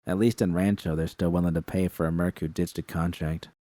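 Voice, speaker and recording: Tired blunt merc woman, read by a guy, flat and joyless, low dark voice. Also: a little fast, no echo, lightly acted. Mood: glum. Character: weary, blunt female mercenary.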